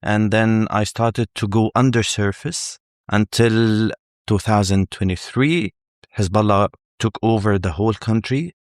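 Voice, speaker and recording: A middle-aged man from Lebanon answers interview questions in a very low voice. He speaks in a measured way and often repeats a word while he finds the next one. His voice is recorded close and dry, with no room sound. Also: steady tone, no room sound. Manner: measured, repeats words while finding them. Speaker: journalist guest.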